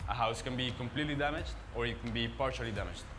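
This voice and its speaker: A man narrating a project video in a clear, explanatory voice. Loud ambient noise sits under the track. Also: medium pitch, fast talker. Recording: noisy video soundtrack.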